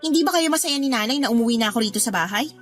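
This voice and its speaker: An actress playing a stubborn, fed-up young adult daughter in a radio drama, with a snippy, complaining manner. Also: female voice, on the high side, lively intonation, very fast, fairly bright tone, soft background music. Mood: weary, resentful.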